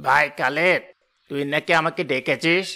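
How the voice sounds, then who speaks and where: A man voicing a greedy, sly, two-faced village farmer in an animated moral story. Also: on the high side, normal speed, gravelly, slight background noise. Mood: impatient. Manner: falsely reassuring, gloating.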